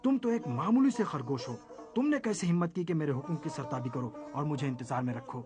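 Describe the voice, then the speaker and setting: A man's voice: a little high, lively intonation, a little fast, music in the background, natural voice. A man voicing a proud, hungry, short-tempered lion king in a children's story, commanding and threatening. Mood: angry, indignant.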